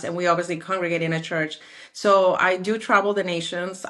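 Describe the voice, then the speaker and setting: A woman in her forties from Mexico, speaking as an interview guest, lively and acting out remembered conversations. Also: fairly low pitch. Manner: animated, acts out quoted dialogue. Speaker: guest, architectural designer.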